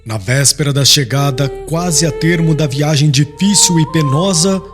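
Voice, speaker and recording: A man's voice: on the high side, normal speed. A man with a Brazilian accent reading a literary audiobook in a formal, dramatic storytelling manner. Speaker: audiobook narrator. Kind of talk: scripted literary narration. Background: music.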